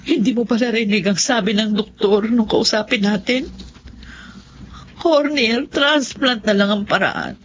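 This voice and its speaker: A woman's voice: fairly high pitch, unhurried, close to an everyday voice. An actress playing a resigned, stubborn middle-aged mother in a radio drama, weary and self-effacing. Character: resigned, stubborn mother losing her sight. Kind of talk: Tagalog radio drama acting. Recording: dull lo-fi audio, faint noise.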